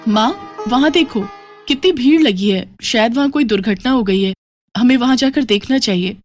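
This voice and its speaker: A selfless young daughter-in-law , played by a woman, speaks with earnest, pleading care. It is an animated moral-story performance, with music clearly playing underneath. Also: fairly high pitch, normal speed, no room sound, pitched above the performer's own voice, lightly acted. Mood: eager, concerned.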